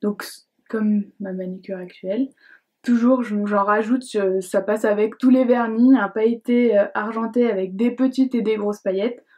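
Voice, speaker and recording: A woman's voice: mid-range voice, steady tone, moderate pace, muffled recording. A young adult woman chatting casually to camera as a beauty vlogger, hesitant and chatty, with many fillers and restarts. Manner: reads questions aloud in a brighter voice.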